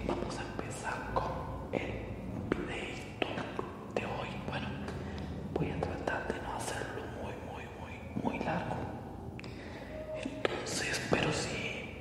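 Whispering man in heavy echo. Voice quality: breathy unvoiced whisper. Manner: slow, halting, repeats phrases softly. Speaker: ASMR video host. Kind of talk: whispered ASMR. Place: small indoor room at home. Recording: very echoey, reverberant, distant-sounding processed audio.

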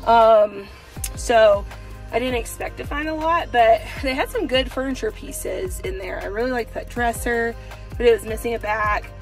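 A woman's voice: a little high, moderate pace, soft background music, low-quality recording. A woman in her thirties, a home decor vlogger, rambling chattily to her phone from a parked car, with lots of fillers. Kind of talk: casual chat to camera. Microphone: phone camera at arm's length.

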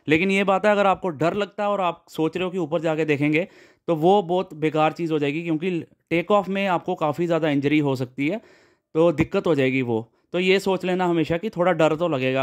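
A man's voice: fairly high pitch, a little mellow. A man giving practical advice in a casual, explanatory vlog voice-over. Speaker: vlogger.

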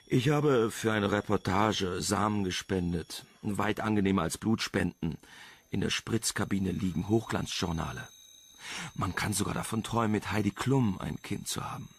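Crime radio play: suave, sardonic reporter, lowish gravelly voice, music underneath. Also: male voice, normal speed. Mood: wry, teasing. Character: wry, flirtatious investigative male journalist. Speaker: radio drama actor.

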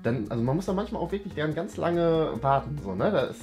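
A young man chats casually and off the cuff. He talks quickly, with music playing behind him. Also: fairly high pitch, sing-song. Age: young adult. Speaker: participant in a staged date. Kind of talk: casual improvised chat. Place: indoor studio set at a dinner table.

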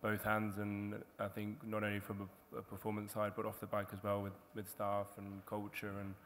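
Male team manager, deep and utterly monotone, measured answers, hall reverb. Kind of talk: panel guest talk. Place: large hall on stage before an audience. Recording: long hall reverb, faint crowd hum.

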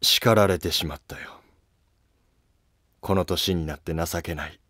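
A man's voice: low-pitched, a bit bright, dry recording, studio quality, voice pushed down. A man voicing an ailing old retired emperor, weary and solemn, in a historical drama. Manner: slow, solemn, lordly speech. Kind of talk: scripted historical drama dialogue.

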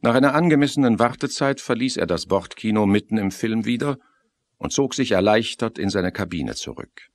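A man's voice: fairly low pitch, lively intonation, brisk, dry recording. A man narrating a scripted German radio play as a storyteller.

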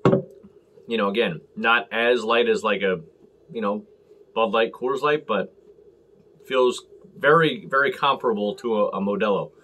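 An American man hosting a casual beer review to camera, talking in halting, clipped short phrases. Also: on the low side, pushed and bright. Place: small room at home, indoors.

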